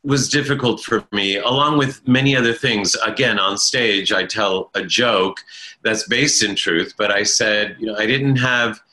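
Bright-voiced man telling stories reflectively. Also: lowish voice, low-quality recording. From Italian-speaking family in America. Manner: thoughtful, halting restarts, self-deprecating humor. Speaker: interview guest, stage performer. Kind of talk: reflective conversational storytelling.

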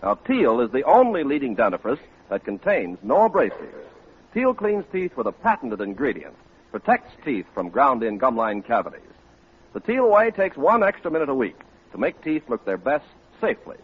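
A man's voice: mid-range voice, wildly swooping pitch, normal speed, husky. An American man announcing and reading ads in a polished, salesmanlike 1940s radio style. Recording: muffled old radio recording.

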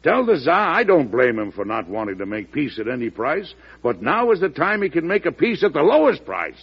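An actor playing a forceful, shrewd American president in an old radio drama, frank and emphatic, with an American accent. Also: male voice, a little high, big pitch swings, a little fast, a little hiss or hum. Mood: persuasive. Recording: muffled vintage radio broadcast recording.

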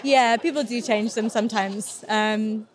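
Woman, high voice, giggly, amused, casual chat. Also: fairly even intonation, measured pace, a faint crowd, slight background noise. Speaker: roller derby player. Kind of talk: casual interview answers.

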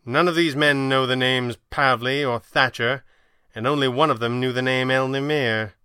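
A man playing a composed, erudite masked crimefighter in an audio drama, speaking in a measured, articulate way with dry wit. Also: mid-range voice, steady tone, pushed and bright, smooth, no room sound, natural voice. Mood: matter-of-fact. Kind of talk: audio drama character voice in narrated reading.

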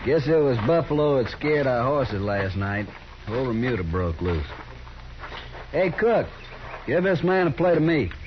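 An actor playing a proud, aggrieved Texas trail boss with a blunt, folksy drawl in a 1950s radio western. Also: male voice, mid-range voice, very animated, a little slow, dull audio. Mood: easygoing, gruff. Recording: noisy, band-limited 1950s radio recording.

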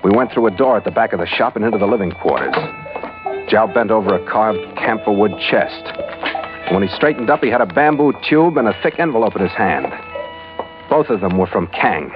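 A man's voice: on the low side, lively intonation, a little fast, natural voice. Guy doing tough american sea captain from 40s radio show, wisecracks, dull old recording, music behind. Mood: terse, hardboiled narrating. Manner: clipped, wisecracking, hardboiled. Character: tough, wry American ship captain telling his own adventure. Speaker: lead actor and narrator. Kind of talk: 1940s radio adventure acting with hardboiled narration.

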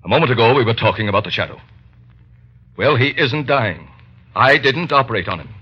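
An actor playing an earnest, authoritative doctor, a man who speaks gravely and urgently in an old-time radio drama. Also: medium pitch, lively intonation, brisk. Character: earnest, authoritative male doctor, an escaped ex-convict. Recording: dull vintage radio recording.

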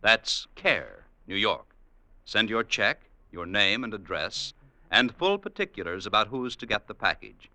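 A male announcer on vintage radio with a very bright, punchy voice and animated pitch. His delivery is formal and emphatic, recorded dry. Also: a little slow, dry recording.

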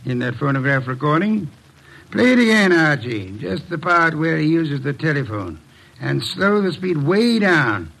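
An actor playing a shrewd, imperious gentleman detective, formal and deliberate, in an old-time radio drama. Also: on the high side, big pitch swings, gravelly, heavily acted. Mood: satisfied, commanding.

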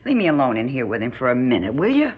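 An actress playing an anxious, kindly rural American wife in a radio drama, pleading and hesitant. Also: female voice, extremely low pitch, huge pitch swings, fast, slightly rough, exaggerated voice. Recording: dull lo-fi radio recording.

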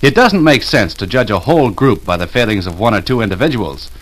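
A man's voice: on the low side, animated, a little fast. A man with an American accent delivering an earnest, emphatic public service message as a 1940s radio announcer.

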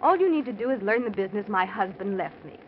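An actress playing a prim, practical wealthy wife in a 1940s radio drama, earnest and disapproving. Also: female voice, mid-range voice, animated, fast talker, close to an everyday voice. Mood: coaxing, firm. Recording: noisy muffled old radio recording.

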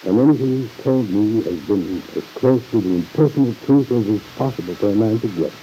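An actor playing an earnest, patient uncle, speaking in a measured, kindly way in an old-time radio soap opera. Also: male voice, on the low side, lively intonation, dark tone, lightly acted. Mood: gently concerned. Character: earnest, concerned uncle, a bank man talking gently to his niece. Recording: muffled hissy old radio recording.

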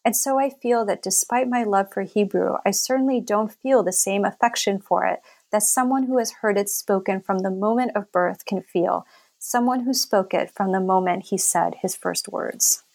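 Thoughtful woman with a creaky voice. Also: mid-range voice, moderate pace, a little mellow. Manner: thoughtful, many fillers and restarts. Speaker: author and scholar. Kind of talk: interview conversation, reading a passage aloud.

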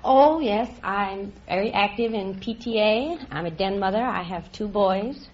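Husky American woman on vintage radio. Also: medium pitch, measured pace. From Staten Island, USA. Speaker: quiz contestant. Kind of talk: answering interview questions. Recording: muffled old radio recording.

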